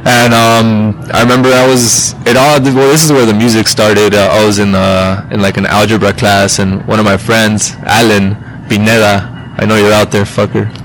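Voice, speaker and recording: A man's voice: lowish voice, little pitch movement, moderate pace, hardly any pauses, a bit bright, slight background noise, poor audio. A man in his thirties, a musician guest on a podcast, talking casually and rambling with lots of fillers and restarts. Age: 30s. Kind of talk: casual interview chat.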